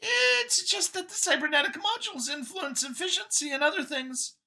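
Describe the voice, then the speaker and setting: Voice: very high-pitched, moderate pace, gravelly, bright, forceful tone, pitched above the performer's own voice, exaggerated voice. A narrator voicing a small female alien mouse scientist in an audiobook, chirpy, slightly hesitant and chatty as she explains. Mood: explaining, upbeat.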